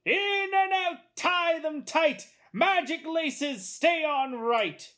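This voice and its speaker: Woman playing a loud, high sing-song huckster man. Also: expressive, exaggerated voice. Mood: showy, eager. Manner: sing-song hawker's patter, drawn-out words. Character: loud showy magic shoelace salesman, a grown man.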